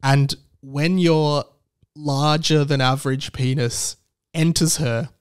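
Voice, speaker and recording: Australian male podcast host, thirties, higher-than-average voice, casual delivery with wry, mock-serious musings. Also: steady tone, slow, clear, resonant tone. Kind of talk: casual solo podcast commentary.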